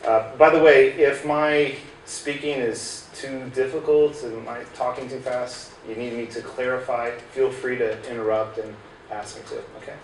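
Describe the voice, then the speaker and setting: A man's voice: mid-range voice, fairly even intonation, normal speed. A middle-aged American man giving a casual tech talk to a small group, with lots of "uh" fillers and restarts. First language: English. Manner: chatty, many "uh" fillers and restarts. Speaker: guest speaker at a hackerspace. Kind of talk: informal tech presentation to a small audience. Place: small room in a hackerspace with projector. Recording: roomy distant room sound, faint hiss.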